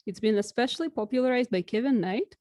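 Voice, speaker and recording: A young woman, a native Russian speaker, presents her research in a steady, explanatory lecture. Her voice is mellow and warm. The sound is close and dry, from a desk mic in a small room. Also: on the high side, no room sound. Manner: clear and measured, occasional fillers. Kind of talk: recorded academic talk over slides.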